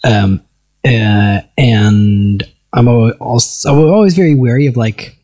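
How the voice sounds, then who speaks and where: An American man chatting at a table with friends, pausing and restarting as he thinks. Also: medium pitch, darkish tone, no echo. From United States. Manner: hesitant, restarts often. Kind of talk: casual conversation. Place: seated at a table with others.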